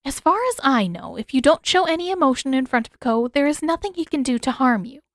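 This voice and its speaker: A woman voicing an older mother, shrewd and unyielding, with a measured, matter-of-fact way of talking. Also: sits high, animated, dry recording, clean studio sound, pitched above the performer's own voice.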